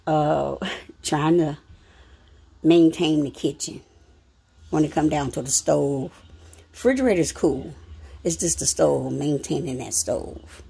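A woman's voice: low-pitched, slow, gravelly, long pauses, mellow, breathy edge. A middle-aged woman vlogging while cleaning her home kitchen, chatty and rambling, a bit short of breath, filmed on a phone. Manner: rambling, chatty, repeats herself. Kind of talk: casual home cleaning vlog. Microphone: phone camera, speaking off-screen.

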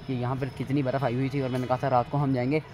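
A man's voice: mid-range voice, little pitch movement, rapid-fire, a little mellow. Teenage Pakistani male vlogger, noisy phone audio. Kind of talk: casual travel vlog narration. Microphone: phone at arm's length. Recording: noisy handheld phone recording, ambient sound.

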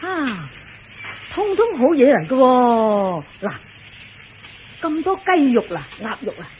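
An actress playing a good-humoured, nosy middle-aged working-class auntie in a radio drama, exclaiming and gossipy, with a Hong Kong Cantonese accent. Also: female voice, high-pitched, big pitch swings, slow pace, darkish tone, exaggerated voice. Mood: curious, surprised. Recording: noisy old radio drama recording.

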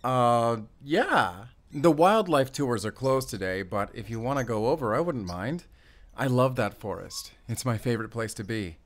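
Audio drama role, male actor: excitable young male ranger, American accent, chatty and friendly. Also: mid-range voice, moderate pace, natural voice. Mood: friendly, proud. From Arizona, US. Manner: talkative, eager, rambling, with small chuckles. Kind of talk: roleplay audio drama addressed to the listener.

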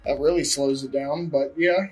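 A man calmly and patiently giving short instructions while doing plumbing work at home. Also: fairly high pitch, normal speed, breathy edge, soft background music, rough recording. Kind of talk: casual chat while working.

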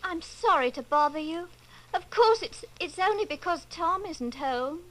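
A woman's voice: very high-pitched, expressive, a little slow, fairly bright tone, heavily acted, poor audio. A woman playing a harried, apologetic housewife and mother in an old-time radio style drama. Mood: flustered. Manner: flustered, apologetic.

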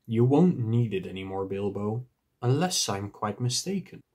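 A man voicing a stern, grave old wizard with a commanding, deliberate manner in a storybook reading. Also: fairly low pitch, very animated, a little mellow.

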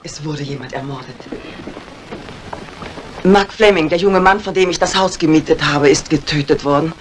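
A woman's voice: sits low, normal speed, lightly acted. A woman plays a dignified older lady of the house in a vintage radio crime play. She speaks in a poised, genteel and matter-of-fact way. The old recording carries faint noise. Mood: composed. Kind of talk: 1950s German radio crime drama acting.

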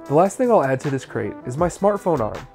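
Man with lively pitch. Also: fairly quick, faint music behind. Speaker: how-to video host. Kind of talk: scripted how-to narration.

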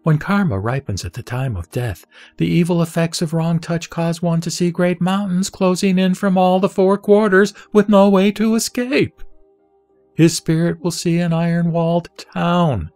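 A man reciting a religious text as solemn, dramatic scripted narration, with measured, deliberate and emphatic phrasing. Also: high voice, a little mellow, no echo. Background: background music.

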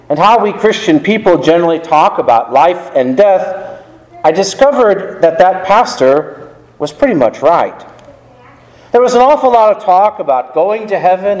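A male pastor preaching a sermon in a measured way, lifting his voice for emphasis and letting phrases fall away at the end. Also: high-pitched, darkish tone, roomy sound, poor audio. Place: church sanctuary.

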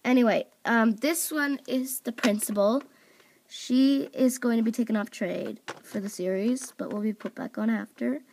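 A woman's voice: a little high, normal speed, creaky, a little mellow, slight background noise. A young woman casually introducing her characters at home, talking in a rambling way with lots of fillers. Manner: rambling, filler-heavy, scolds her dog off-mic. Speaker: video creator. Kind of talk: casual chat. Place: home room at a table of toys.

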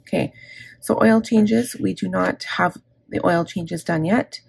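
Woman, middle-aged planner vlogger, gravelly and husky throat, candid rambling chat with self-corrections. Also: fairly low pitch, a little slow. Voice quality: gravelly, scratchy. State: scratchy, gravelly throat from inhaler. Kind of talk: casual plan-with-me chat. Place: indoors at a desk.

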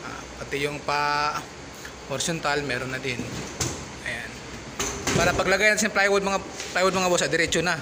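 A man's voice: a little high, fairly even intonation, moderate pace, pauses often, background noise. A man filming a casual walkthrough of a warehouse he is building, talking the viewer through the work with lots of fillers. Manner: chatty, many fillers, keeps addressing viewers as "boss". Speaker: construction vlogger. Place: indoor warehouse under construction. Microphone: handheld phone camera.

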